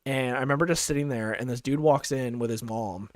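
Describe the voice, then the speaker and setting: A young man from Canada chats loosely while he plays, telling long stories packed with "like" and "um". He breaks off now and then to answer chat messages. His voice sits at an ordinary middle pitch for a man. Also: fairly quick, no echo, clean studio sound. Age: young adult. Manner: rambling, filler-heavy storytelling, reads chat aloud. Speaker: streamer. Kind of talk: casual live-stream chat while gaming.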